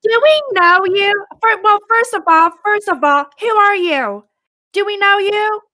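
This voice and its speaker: A woman player banters casually with a sarcastic edge. Her high, bright voice moves animatedly and unhurriedly, over faint music. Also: expressive, unhurried. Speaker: tabletop game player.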